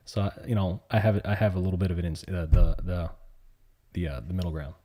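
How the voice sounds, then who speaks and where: A man's voice: low-pitched, steady tone, fast talker, warm tone. A man hosting a live trading stream, talking in a loose, rambling way as he answers viewers' questions. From born in New York City, raised in the US South. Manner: rambling, conversational, answers chat questions as they come in. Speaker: livestream host and options trader. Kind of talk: casual live-stream chat.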